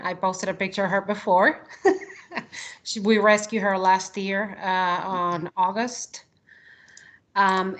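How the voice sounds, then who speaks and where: A woman's voice: mid-range voice, a bit bright. Chatty Argentinian woman on a video call. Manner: chatty, frequent ums, giggly. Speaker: employee presenting to coworkers. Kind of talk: casual video call presentation.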